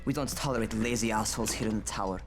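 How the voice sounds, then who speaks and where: A man's voice: lowish voice, quick pace, over music, natural voice. A man playing a brash, bossy young survivor who scolds curtly in a video game. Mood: irritated, dismissive. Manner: scolding, curt, profane.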